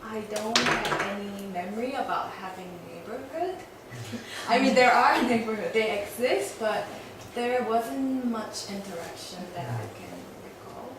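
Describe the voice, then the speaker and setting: A woman's voice: on the high side, lively intonation, unhurried, recorded from a distance. Candid woman in an echoey room. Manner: candid, reflective, a few fillers. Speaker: meetup participant. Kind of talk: casual self-introduction in a group. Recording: echoey room, voice from afar, background noise.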